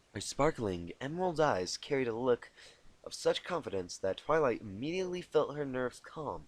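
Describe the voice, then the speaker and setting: A man narrating a story expressively as an amateur reader, informal and quick to correct himself. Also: mid-range voice, dry recording. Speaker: amateur fan fiction narrator.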